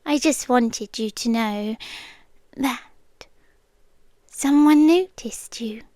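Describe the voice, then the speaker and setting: Brief: young British woman, high voice, very slow soft whispers, dry close-mic ASMR roleplay. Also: no room sound. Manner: soft whispery intimate delivery. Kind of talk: scripted ASMR girlfriend roleplay.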